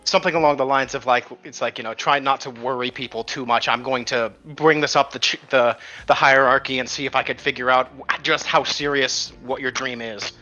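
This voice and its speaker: A man's voice: medium pitch, fairly even intonation, brisk, hardly any pauses, pushed and bright, highs cut off, faint music behind. A man running a tabletop game as game master, casually narrating and recapping the story with some swearing.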